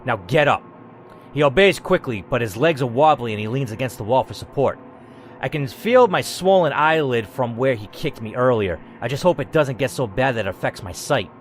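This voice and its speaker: Animated man reading a story aloud. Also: mid-range voice, brisk, a little hiss or hum. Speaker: YouTuber reading an interactive story. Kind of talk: story reading with reactive asides.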